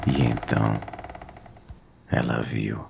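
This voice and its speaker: Very deep, gravelly Brazilian male storyteller. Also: steady tone, noisy background. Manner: slow, suspenseful, with dramatic pauses. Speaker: horror story narrator. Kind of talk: dramatic scripted horror narration. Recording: narration over clear background music. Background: music.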